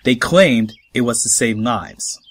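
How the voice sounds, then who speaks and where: An actor playing a composed, tactful away-team officer, speaking in a measured, diplomatic way in a sci-fi audio drama. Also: male voice, medium pitch, breathy edge. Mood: frank.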